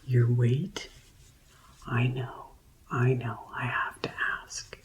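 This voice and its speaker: A woman speaks softly in an ASMR roleplay, often slipping into a whisper. Her voice is very low for a woman and she takes her time with each phrase, in a gentle customer-service manner. A faint hiss sits under the recording. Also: flat delivery, rough recording. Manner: slow, gentle, deliberate customer-service delivery. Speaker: ASMR performer playing a vampire conversion receptionist.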